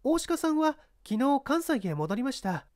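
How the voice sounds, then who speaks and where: A woman plays an earnest young actress in an audio drama. Her high, very expressive voice pleads in polite phrases. The recording is close and dry. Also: moderate pace, no echo. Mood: earnest pleading.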